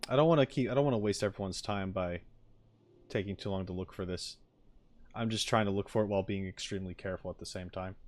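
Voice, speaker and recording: Male streamer, low voice, casual and hesitant, thinking out loud to viewers. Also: fast. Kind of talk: casual chat.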